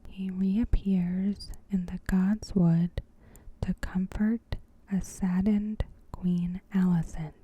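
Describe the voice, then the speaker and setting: A woman softly whispering a scripted recap as an ASMR host, in a gentle, breathy, measured way. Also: fairly low pitch, a little hiss or hum, poor audio. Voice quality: breathy, whispered soft speech. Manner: gentle, unhurried, evenly measured.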